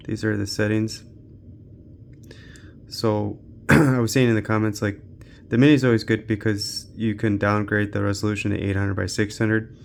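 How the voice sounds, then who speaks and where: A man's voice: fairly low pitch, gravelly, slight background noise. A man giving casual, unscripted gaming commentary as a channel host, thinking aloud in a laid-back way.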